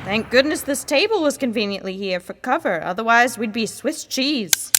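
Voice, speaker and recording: A young woman playing a hearty, authoritative police chief in a radio drama, speaking crisply. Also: fairly high pitch, very animated, moderate pace, loud music behind the voice. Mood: tense. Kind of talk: detective radio drama acting.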